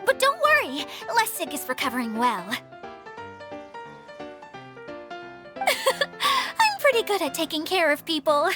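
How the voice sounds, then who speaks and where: Very high, bubbly young caretaker. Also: female voice, huge pitch swings, moderate pace, music in the background, full character voice. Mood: cheerful, pleased. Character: cheerful, breezy, self-satisfied young caretaker. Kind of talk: anime-style video game voice acting.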